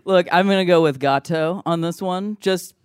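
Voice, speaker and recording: A man's voice: high voice, moderate pace, clean tone, dry recording, studio quality. A man guest on a comedy panel, talking casually in an earnest, mildly joking way.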